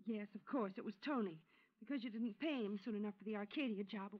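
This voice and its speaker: An actress playing a coaxing, scheming femme fatale in an old radio crime melodrama. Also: female voice, medium pitch, quick pace, lightly acted. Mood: anxious coaxing. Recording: muffled old radio recording.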